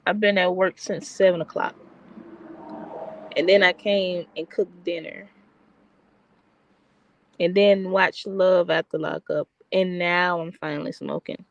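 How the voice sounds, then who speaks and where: Soft-spoken tired woman, stuffy. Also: fairly low pitch, fairly even intonation, a little slow, long pauses, highs cut off. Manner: soft-spoken, rambling with restarts. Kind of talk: casual late-night chat.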